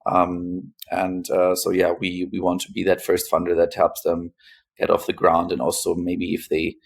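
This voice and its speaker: Low monotone guy, podcast guest talking haltingly, pauses a lot. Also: creaky, a little hiss or hum. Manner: hesitant, pausing often between phrases. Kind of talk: interview conversation, recounting own experience.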